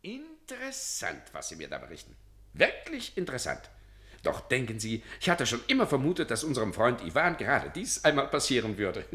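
A man's voice: medium pitch, huge pitch swings, a little fast, sharp tone. An actor in a German radio play playing a self-important, evasive senior civil servant who dithers officiously and draws out his phrases. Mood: smug musing.

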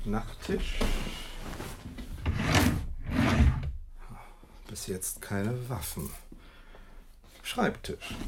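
An arrogant sleuth in a radio drama, muttering to himself in a low, gravelly voice. His speech is precise and pompous, with lively swings of pitch, over faint noise. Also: heavily acted. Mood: concentrated, muttering. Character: arrogant, sharp-witted male detective snooping alone. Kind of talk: German radio play acting.

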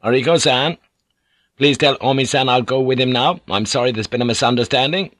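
A man's voice: medium pitch, fairly quick, a bit bright, no echo, studio quality, voice pushed up, natural voice. A man playing a commanding foreign man among samurai in an audiobook story, with a firm, imperious, clipped manner. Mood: firm, controlled.

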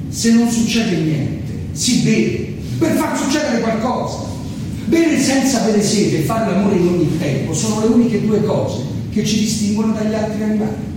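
A man's voice: high voice, expressive, moderate pace. A man playing a self-mocking drinker in a comic stage monologue, declaiming and digressing as he addresses an audience about his habit. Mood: wry, expansive. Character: rambling, self-mocking male drinker confessing and philosophizing about alcohol. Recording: echoey hall, distant mic, noisy.

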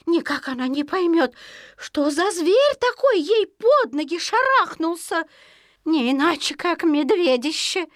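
A woman narrating a children's folk tale expressively, in a singsong folksy way with drawn-out repeated words. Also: very high voice, very animated, no echo, studio quality. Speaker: storyteller.

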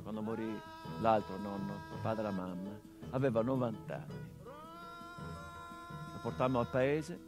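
An actor playing a grown son who speaks to his murdered father in a theatrical monologue, quiet and reflective, with flashes of bitter emphasis. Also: on the low side, close to an everyday voice. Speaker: stage actor in a radio play.

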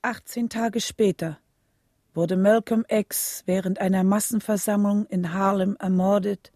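Lady acting a solemn leader's wife telling her story, radio play, soft dark husky voice, dry sound. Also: medium pitch, steady tone, measured pace, dry recording, lightly acted. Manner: solemn, restrained, clearly phrased storytelling. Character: composed, grieving wife of a civil rights leader. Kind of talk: scripted radio drama narration and dialogue.

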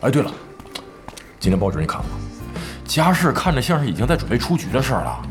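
A man's voice: medium pitch, huge pitch swings, fast talker, music in the background. A man playing a brash, nosy, somewhat sleazy gamer in an audio drama, effusive and slangy in his talk. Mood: curious, chummy.

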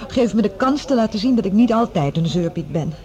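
An actress playing an earnest, vulnerable scientist on a Mars project in a Dutch science fiction radio drama. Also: female voice, medium pitch, expressive, fairly quick, dark tone, natural voice. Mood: tender, grateful.

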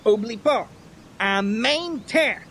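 Man doing a folksy handyman, gravelly, bright and forceful, Quebec French, slangy franglais, brash, radio comedy. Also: very high voice, expressive, slow, slight background noise, full character voice. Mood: bossy, practical. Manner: slangy, mixes in English. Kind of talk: old-time radio comedy acting.

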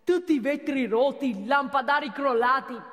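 A woman's voice: high-pitched, normal speed, a bit bright, in a large hall. An actress playing a fussy, officious, pious female police officer in a comic theatre monologue. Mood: startled, scolding. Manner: officious, formal wording, fussy.

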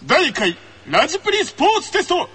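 A man's voice: extremely high pitch, wildly swooping pitch, brisk, bright, forceful tone. A man hosting a comic radio game segment, announcing in a mock-formal, theatrical way.

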